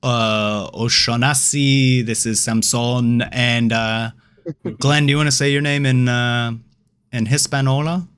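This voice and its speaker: A man's voice: medium pitch, steady tone, a little slow, a bit bright, clean tone. A man hosting a casual podcast in a laid-back, joking way. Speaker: podcast host.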